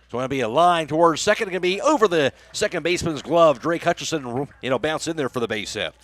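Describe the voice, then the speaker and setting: A man's voice: a little high, lively intonation, fast, fairly bright tone. An American man doing live radio play-by-play of a baseball game as the announcer. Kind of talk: live sports commentary.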